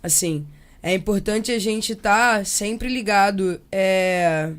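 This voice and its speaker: A young Brazilian woman speaking casually and reflectively as an interview guest, expressive and slangy, slipping into imagined quotes. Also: mid-range voice, takes it slowly, clean tone. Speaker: interview guest, percussionist and samba singer.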